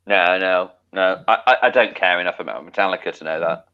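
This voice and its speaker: A man co-hosting a casual comedic chat, wry and dry with drawn-out emphasis. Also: sits low, smooth. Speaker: podcast co-host. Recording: slightly dull lo-fi audio.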